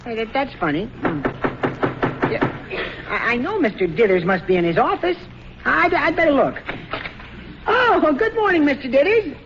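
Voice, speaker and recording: A man's voice: very high voice, sing-song, moderate pace, pauses often, exaggerated voice. An actor playing a meek, bumbling family man who stammers hesitantly in an old radio comedy. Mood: chipper. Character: flustered, meek, bumbling husband and father. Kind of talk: 1940s radio comedy acting. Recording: muffled noisy old radio recording, audience.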